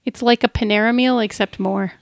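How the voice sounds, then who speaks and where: A woman's voice: on the high side, fairly even intonation, a little fast, no echo, studio quality. A woman co-hosting a podcast with goofy, playful, casual banter. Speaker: podcast co-host.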